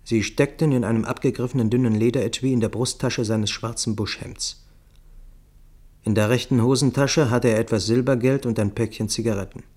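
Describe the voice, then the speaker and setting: A man's voice: medium pitch, a little mellow. A man reading scripted, literary first-person narration for a radio play.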